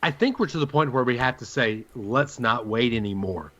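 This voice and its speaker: A man's voice: mid-range voice, animated, normal speed, fairly bright tone, muffled recording. A man co-hosting a sports talk show, giving his opinions and putting questions in a conversational way.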